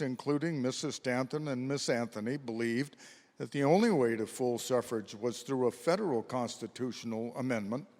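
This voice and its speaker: A man reading scripted historical narration for a readers theater in a measured, formal way. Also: mid-range voice, a little mellow. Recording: echoey hall, long reverb.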